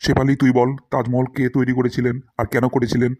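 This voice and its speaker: A man's voice: mid-range voice, completely flat delivery, fast talker, darkish tone, breathy edge, no room sound, close to an everyday voice. A man voicing a strict, grumbling history teacher in a comedy cartoon, with a bossy, scolding manner. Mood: stern.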